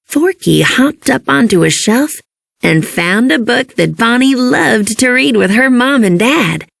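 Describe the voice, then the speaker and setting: A woman reading a children's storybook as an expressive narrator. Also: a little high, gravelly, no room sound, clean studio sound.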